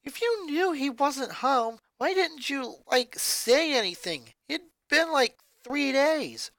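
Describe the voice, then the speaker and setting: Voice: sits high, expressive, slow pace, bright, forceful tone, no room sound, voice pushed up, heavily acted. A fan reader voicing a bubbly, airheaded blonde teenage girl with valley-girl talk. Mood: irritated.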